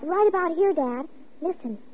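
A woman's voice: very high voice, lively intonation, normal speed. An actress playing an anxious, insistent young girl who pleads with urgency in an old radio drama. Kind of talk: 1940s radio acting. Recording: tinny, noisy old radio recording.

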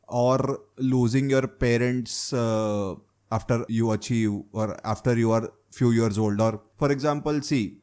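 A man's voice: medium pitch, darkish tone. Young man probing with questions. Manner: probing, keeps adding "right?" checks. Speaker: host asking questions. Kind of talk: casual discussion.

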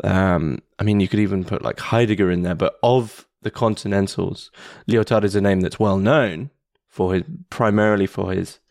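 A man hosting a podcast interview in a casual way, often pausing with fillers and restarting his sentences. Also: deep voice, gravelly, darkish tone, no room sound, studio quality. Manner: hesitant, many fillers and restarts. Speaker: podcast host.

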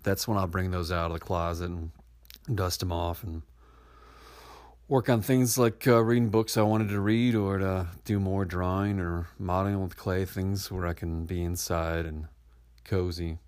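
A man reflecting in a thoughtful, folksy way, pausing often with uhs. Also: low voice, steady tone, a little mellow. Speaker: contributor sharing reflections. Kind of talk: reflective monologue.

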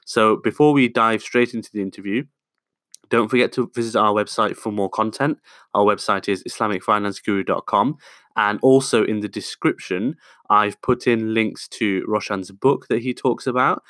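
A man with a fairly low voice opens a podcast episode, upbeat and smooth as he reads through his introduction. The sound is dry and close, with no room around it. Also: normal speed, a bit bright, no room sound. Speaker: podcast host.

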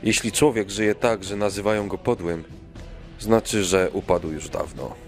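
A man playing a brave, kindly king and devoted father in a children's audio drama, earnest and measured. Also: deep voice, soft background music. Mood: resolute. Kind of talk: children's radio play acting.

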